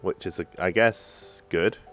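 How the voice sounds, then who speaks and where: A woman's voice: extremely low pitch, takes it slowly, music in the background. A woman hosting a gaming video, commenting casually on the game with a wry, playful manner.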